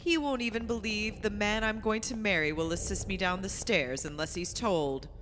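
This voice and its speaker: A woman's voice: mid-range voice, moderate pace, fairly bright tone, soft background music, lightly acted. A young woman playing a spirited, wry daughter in a radio play, with a proper theatrical delivery. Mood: wry, curious. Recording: echoey hall, faint background hiss.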